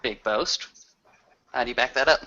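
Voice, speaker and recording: A man's voice: mid-range voice, normal speed, bright, forceful tone. A man playing a suspicious male werewolf in a tabletop game, questioning bluntly. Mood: challenging. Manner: blunt, interrogating. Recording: dull lo-fi voice recording.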